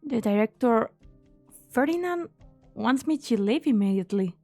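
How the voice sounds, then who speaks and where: An earnest young female researcher, voiced by a woman, with a very high voice. She sounds anxious and expressive, sometimes hesitant, in game drama acting over faint music. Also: moderate pace. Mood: uneasy. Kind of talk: English fan dub of a game story.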